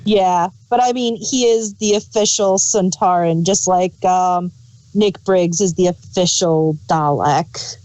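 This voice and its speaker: A woman's voice: on the low side, takes it slowly, darkish tone, poor audio. A woman co-hosting a review podcast in a casual, conversational style. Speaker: podcast co-host.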